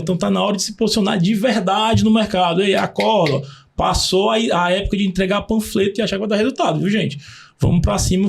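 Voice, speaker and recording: Podcast host, male, high gravelly voice, jokey and upbeat. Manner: jokey, upbeat, chatty with slang. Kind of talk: casual interview, reading sponsor plugs.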